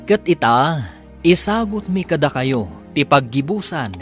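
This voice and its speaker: Slow, animated male radio announcer. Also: fairly high pitch. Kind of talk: scripted dramatic announcement. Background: music.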